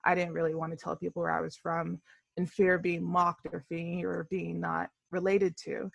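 A woman's voice: on the low side, vocal fry, no echo. A woman with an American accent, giving a conversational personal account as a discussion panelist. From United States.

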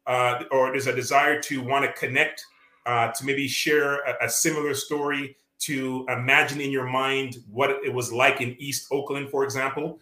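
Earnest Canadian man, bright echoey voice. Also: mid-range voice, flat delivery, moderate pace. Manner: earnest, many uh fillers and restarts. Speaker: author guest. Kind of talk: reflective interview talk.

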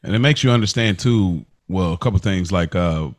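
A man's voice: low voice, a little fast. A man hosting a podcast, guiding a casual TV recap in conversational speech.

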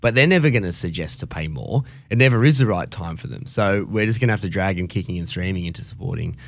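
A deep-voiced Australian man speaks as a radio co-host. He argues his opinions bluntly and colloquially, leaning on his points with emphasis. His voice sits close and dry on the studio microphone. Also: expressive, quick pace, creaky, no echo. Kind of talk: opinionated conversational radio discussion.

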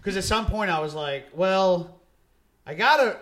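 A man's voice: high-pitched, animated, a little slow, fairly bright tone. A man hosting a casual comedy podcast, rambling through stories and laughing at his own jokes. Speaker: podcast host.

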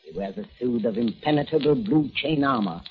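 An actor playing a scheming crime boss who gives orders in a smooth, commanding way, in 1940s radio acting. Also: male voice, mid-range voice, fairly quick, a little hiss or hum, lightly acted. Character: scheming male crime boss called the Octopus. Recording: muffled old radio recording.

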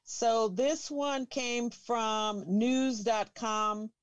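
A woman's voice: fairly high pitch, fairly even intonation, drawn-out words, no echo. A woman hosting a casual chat, reading an article aloud, amused, stumbling over names. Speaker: host.

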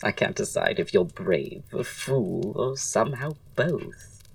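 Casting: female actor as flamboyant Victorian gentleman playwright, witty and teasing, strikingly deep, with lively swooping intonation, for audio drama. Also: slow, creaky, dry recording, far from the mic, full character voice. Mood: arch, flattered. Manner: theatrical, drawling, arch, florid wording.

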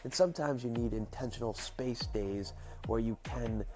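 A man hosting his daily show, talking casually and encouragingly, a bit stuffy and nasal from his sinuses, speaking slowly to enunciate. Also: medium pitch, mellow, faint music behind. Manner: slow, careful enunciation. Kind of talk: casual motivational monologue.

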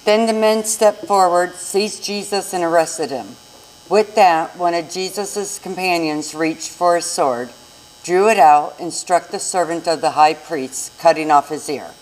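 A woman's voice: on the low side, measured pace, rough recording. A woman narrating a scripted scripture reading in church. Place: inside a church.

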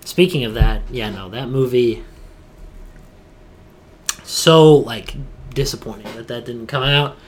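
A man's voice: medium pitch, slow, long pauses. A man co-hosting a casual gaming chat, talking like an opinionated, informal fan who peppers his speech with "dude". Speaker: podcast co-host. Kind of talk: casual chat about video games.

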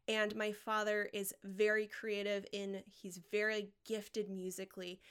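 A woman's voice: medium pitch, fairly bright tone, smooth. An American woman hosting a solo podcast, speaking straight to her listeners with enthusiasm and encouragement as she tells personal stories. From Washington state, United States. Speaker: podcast host and marketing coach.

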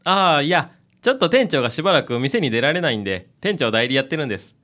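A woman's voice: low-pitched, sing-song, fast, fairly bright tone. A woman acting as a friendly, casual young man minding a cafe in a radio drama, with a folksy Kansai accent. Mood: chatty. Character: breezy, friendly male freeter acting as cafe manager.

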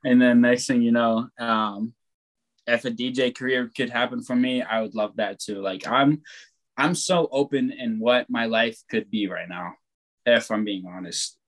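A young man chatting casually, rambling and laid-back, who swears freely and talks loud. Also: mid-range voice, a little slow, rough recording. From born on the West Coast, raised on the East Coast of the US. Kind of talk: casual chat.

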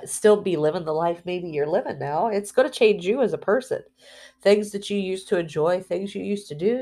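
A woman talking candidly in a personal spiritual vlog, rambling and heartfelt, with fillers, restarts and soft laughs between phrases. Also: medium pitch, gravelly. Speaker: spiritual vlogger.

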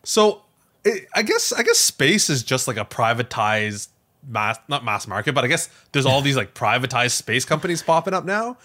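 A man hosting a podcast, casually recounting a story in a rambling, animated way. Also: mid-range voice, moderate pace. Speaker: podcast host.

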